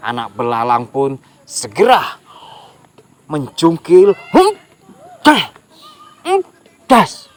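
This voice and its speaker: A man telling a folk tale to children in a lively way, speaking to young listeners directly. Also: a little high, extremely slow, pauses often. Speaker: storyteller.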